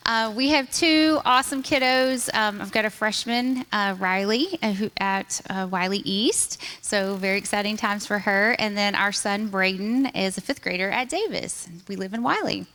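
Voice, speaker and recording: A woman from East Texas with a Texan twang, a high school principal, chatting in an upbeat way. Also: normal speed, few pauses, sharp tone. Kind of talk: casual interview chat.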